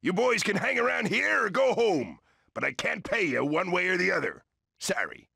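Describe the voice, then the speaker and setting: An older, blustery crab restaurant boss for a cartoon game. The voice is high and gravelly and leaps widely in pitch, with a hearty, bossy sailor manner. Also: male voice, big pitch swings, moderate pace, bright, forceful tone, no room sound, clean studio sound, heavily acted. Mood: gruff, glum. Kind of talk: cartoon video game acting.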